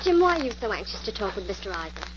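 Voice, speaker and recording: A woman's voice: medium pitch, very animated, racing, natural voice. An actress playing an eager, imaginative young female assistant with an American accent and an inquisitive manner, in 1940s radio style. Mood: curious. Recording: muffled, noisy old-time radio recording.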